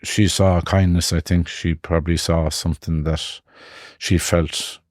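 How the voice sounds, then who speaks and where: A man in his fifties with an Irish accent from Connemara, telling his life story in a reflective, halting way. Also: deep voice, little pitch movement, a little mellow, no echo, clean studio sound. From Ireland. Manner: reflective, halting, with frequent restarts. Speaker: guest. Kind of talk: personal life story in conversation.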